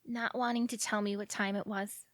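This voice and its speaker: Woman playing lovelorn young woman, anxious, fretful self-questioning, medium pitch, audio drama. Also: level tone, moderate pace, no echo, studio quality, close to an everyday voice. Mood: tender, grateful. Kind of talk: audio theatre acting.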